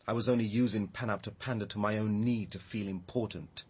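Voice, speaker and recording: A man's voice: lowish voice, steady tone, fairly quick, a little mellow, husky. An actor playing a bitter, grieving, muddled man in a naturalistic radio drama, brooding and emotional. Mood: dejected.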